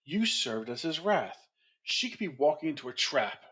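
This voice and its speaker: A man's voice: on the high side, big pitch swings, normal speed, bright, forceful tone. A man playing a distrustful, accusing Jedi council member in an audio drama. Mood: suspicious.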